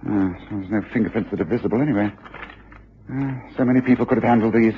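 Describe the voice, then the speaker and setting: A man's voice: lowish voice, fast, slight background noise, close to an everyday voice. An actor playing an observant male detective in a radio drama, thinking aloud with musing hesitations as he examines and probes. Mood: absorbed, musing. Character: brisk, observant male detective, absorbed and probing. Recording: muffled radio drama recording.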